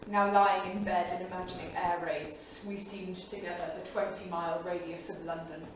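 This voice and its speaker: A woman playing a bold, restless 1930s wife in a staged reading, with an intense, lyrical, deliberate theatrical delivery. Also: on the low side, level tone, lightly acted. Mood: tender, wistful. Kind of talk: staged reading, dramatic monologue. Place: small theatre studio. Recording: echoey theatre, distant mic, faint noise.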